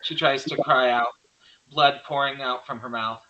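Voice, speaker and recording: A man narrates a horror screenplay, reading scene headings and action plainly. His voice is medium in pitch with a bright, forceful edge. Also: steady tone, normal speed, muffled recording. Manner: plain stage-direction reading. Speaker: script narrator.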